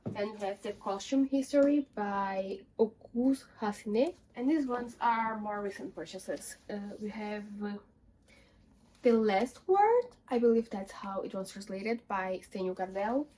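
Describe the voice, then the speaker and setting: Young woman, English, casual book vlogger, medium pitch, light background noise. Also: darkish tone, poor audio. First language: not English. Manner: chatty, listing titles, self-deprecating asides. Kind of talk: casual book haul chat.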